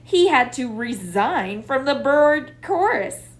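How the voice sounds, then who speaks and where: Woman playing friendly, lively storybook boy. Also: sits high, slow, pitched above the performer's own voice, exaggerated voice. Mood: newsy. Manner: encouraging. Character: Robert, friendly reassuring boy, playmate to a girl.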